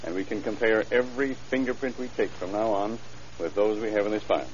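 An actor playing a matter-of-fact male police detective in an old radio drama, laying out facts in a dry, deliberate way. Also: on the low side, darkish tone, lightly acted. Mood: businesslike. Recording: muffled, hissy old radio recording.

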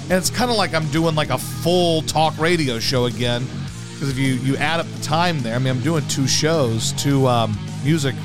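A hoarse man hosting a casual talk show, rambling in a loose, profane way and doing live ad reads. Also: mid-range voice, moderate pace, music in the background. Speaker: radio host. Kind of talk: casual podcast chat and live ad read.

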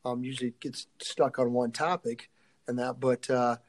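A middle-aged man, a strength coach being interviewed, talking casually and haltingly, often thinking aloud and trailing off. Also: mid-range voice, level tone.

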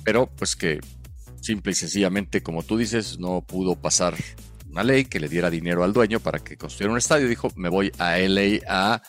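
Measured, low-voiced man. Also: faint music behind. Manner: measured, teasing, explanatory. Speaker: podcast co-host. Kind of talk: casual sports chat.

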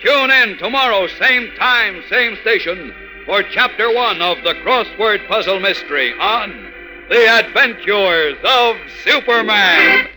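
A man's voice: sits high, lively intonation, slow, low-quality recording. A man narrating a 1940s radio serial in a theatrical, suspenseful announcing style. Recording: vintage radio broadcast with loud music.

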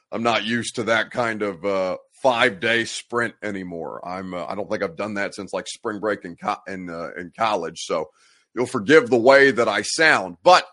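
A young adult man hosting a live sports talk show with a stuffy, congested voice, ranting with exasperated sarcasm and reading out viewer comments. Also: mid-range voice. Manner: exasperated, mocking, animated rants with sarcastic quoted voices.

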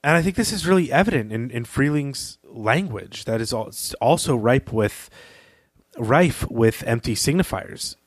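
A man's voice: mid-range voice, lively intonation, measured pace, no echo. A man co-hosting a podcast, talking through a literary analysis in an earnest, emphatic, conversational way. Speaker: podcast co-host.

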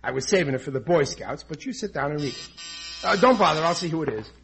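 A man's voice: a little high, animated, fast talker, gravelly, fairly bright tone, slightly rough, faint music behind, heavily acted. An actor playing a blustering, naive, well-meaning family man, folksy and hesitant, in 1940s radio sitcom style. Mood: genial, naive. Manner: folksy, hesitant, easily exasperated.

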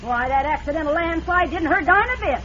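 Radio western boisterous, cantankerous old American boss lady. Very high, swooping delivery, folksy and blustering. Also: expressive, quick pace, a bit bright, highs cut off. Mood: boastful, lively. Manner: folksy, blustering frontier drawl. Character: cantankerous, boisterous old woman boss of a frontier borax outfit. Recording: noisy, band-limited vintage radio recording.

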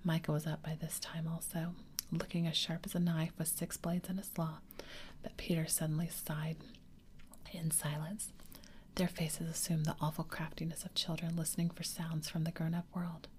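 Woman narrator, whispered ASMR storytelling, very low, gentle pacing. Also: monotone, slight background noise. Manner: gentle, unhurried. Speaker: reader.

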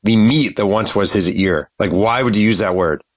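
Male host, playful riffing, low-leaning voice, dry sound. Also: normal speed, dry recording. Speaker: podcast host. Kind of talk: casual interview chat.

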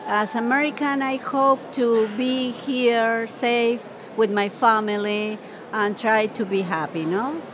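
A woman from Peru, a new citizen, speaking warmly in simple phrases. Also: on the high side, steady tone, slow, crowd noise.

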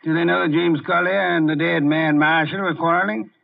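Haughty detective genius: gravelly, deliberate, short-tempered, vintage radio mystery with muffled sound. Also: male voice, fairly high pitch, steady tone. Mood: accusing. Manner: theatrical, deliberate, haughty, grunts and chuckles. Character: pompous, brilliant, irritable male private detective. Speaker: lead actor in detective drama. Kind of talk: 1950s radio detective drama acting. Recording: dull old-time radio recording.

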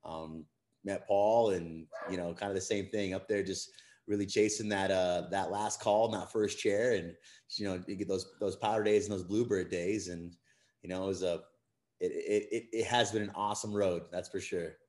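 A man in his thirties with a very low voice talks in a friendly, easygoing way, laughing often. He sounds like a guest telling his story. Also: steady tone, normal speed, darkish tone. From western USA. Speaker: guest, business co-owner. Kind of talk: casual chat.

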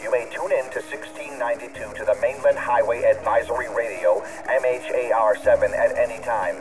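A man's voice: medium pitch, brisk, lightly acted. A man playing a formal, impersonal announcer reading scripted traffic advisories on the radio. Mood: official, neutral. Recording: distant car radio broadcast, music behind.

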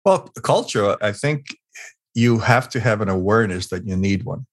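A man's voice: fairly low pitch, animated, normal speed, no echo, studio quality. A middle-aged man from Hungary, a business author being interviewed, who talks earnestly with many fillers and repeated words. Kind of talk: conversational interview storytelling.

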